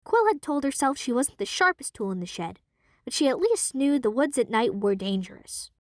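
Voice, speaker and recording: A woman's voice: high voice, normal speed, no echo, clean studio sound. Young woman narrating an audio drama. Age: young adult. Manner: expressive, lively storytelling. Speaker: narrator and voice actor.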